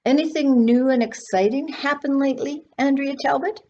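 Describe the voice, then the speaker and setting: An eccentric old great-aunt in her eighties, put on by a woman storyteller, gushing and exclaiming in a raised pitch. She is fluttery and effusive, like a storybook relative, on a dull home recording. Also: normal speed, warm tone, natural voice. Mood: eager.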